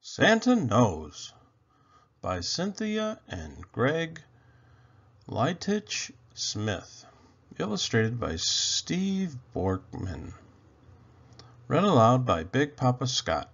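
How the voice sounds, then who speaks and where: Man reading a storybook aloud, slow and unhurried, wildly animated pitch, dull lo-fi recording. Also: breathy edge. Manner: expressive, slow, deliberate. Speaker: storybook reader. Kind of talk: children's picture book read-aloud.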